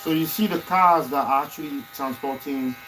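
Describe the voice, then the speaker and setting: A man with a fairly high voice tells stories in a barbershop chat. He talks with animation and repeats words when he gets excited. Faint shop noise runs under his voice. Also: normal speed, poor audio. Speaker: barbershop podcast guest. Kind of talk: casual chat.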